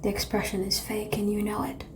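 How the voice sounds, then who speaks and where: A woman playing a seductive, world-weary vampire in an ASMR roleplay, calm and teasing, with a breathy whisper and languid, formal phrasing. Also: medium pitch, fairly quick, background noise, close to an everyday voice. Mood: teasing, resigned.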